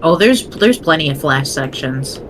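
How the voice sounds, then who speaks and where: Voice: medium pitch, lively intonation, moderate pace, fairly bright tone, a little hiss or hum. A person chatting casually and playfully as a co-reader during a group reading. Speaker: co-reader and commentator.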